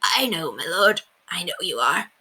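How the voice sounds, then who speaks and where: A woman's voice: on the low side, sing-song, normal speed, bright, forceful tone, breathy edge, exaggerated voice. A woman voicing a wizened old woman, tender and weighed down by guilt, in a storybook reading.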